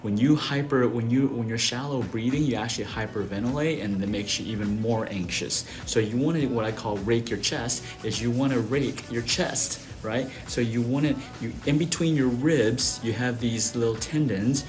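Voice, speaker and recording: A man's voice: mid-range voice, normal speed, few pauses, soft background music. A man from Taiwan who works as an acupuncturist, giving casual, conversational health tips and often checking in with short tag questions. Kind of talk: casual instructional talk to camera.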